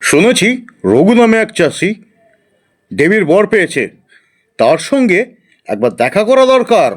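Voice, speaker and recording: Man acting benevolent, high-voiced storybook king. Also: sing-song, measured pace, heavily acted. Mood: curious, approving. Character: benevolent king praising honest subjects, adult male. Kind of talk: animated fairy tale acting.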